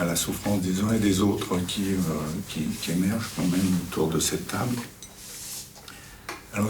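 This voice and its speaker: Deep-voiced middle-aged man, distant and roomy. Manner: halting, repeats words, self-assured. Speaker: retreat participant. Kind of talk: sharing in a group circle. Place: indoor room around a table. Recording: roomy, distant mic, faint noise.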